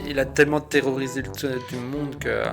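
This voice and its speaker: A man's voice: mid-range voice, level tone, fairly quick, gravelly. A man giving opinions as a guest in a casual, colloquial chat. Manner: informal, colloquial. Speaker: guest co-commentator. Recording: music behind the voice.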